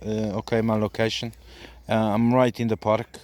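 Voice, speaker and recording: A man making halting, repetitive radio calls and casual commentary during an outdoor antenna test. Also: fairly low pitch, little pitch movement, a little mellow. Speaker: amateur CB radio operator testing an antenna. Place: outdoors in a park.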